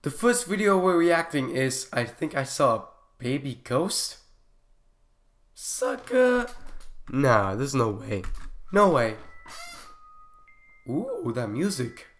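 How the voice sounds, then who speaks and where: A young man hosting a casual reaction vlog while sick with a cough and stuffy nose, given to loud, excitable outbursts. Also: medium pitch, slow, pauses often, soft background music. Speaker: YouTube host reacting to videos.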